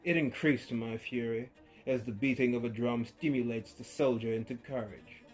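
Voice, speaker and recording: A man giving a theatrical horror reading as an unhinged male murderer, gloating and feverish, dropping to hushed murmurs and rising to loud outbursts with low chuckles. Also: fairly even intonation, normal speed, soft background music. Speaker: narrator reading a horror tale. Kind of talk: dramatic storytelling reading.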